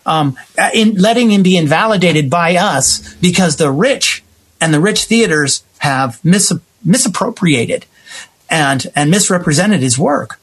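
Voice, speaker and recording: Animated man with a husky voice. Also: sits high, unhurried. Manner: emphatic, acts out remembered exchanges. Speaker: guest, playwright and actor. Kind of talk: animated conversational interview.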